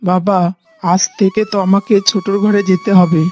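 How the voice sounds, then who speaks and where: A woman voicing a gentle, long-suffering elderly mother-in-law in a cartoon, placating and resigned. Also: mid-range voice, fairly even intonation, moderate pace, faint music behind, natural voice. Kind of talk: cartoon moral story voice acting.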